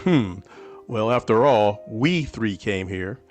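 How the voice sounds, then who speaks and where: A man playing a mild, proper, observant gentleman adventurer in an old-time radio drama, measured and polite. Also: lowish voice, expressive, soft background music. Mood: wry. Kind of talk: vintage radio drama acting.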